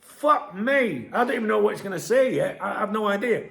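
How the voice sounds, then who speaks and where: A man, croaky from a chest infection, giving sweary and sarcastic casual commentary on a news article as a football channel host. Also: high voice, expressive, brisk, a bit bright.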